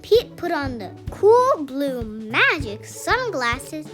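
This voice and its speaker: A woman reading a children's story aloud with expressive, emphatic narration. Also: high voice, slow, soft background music. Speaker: storybook reader.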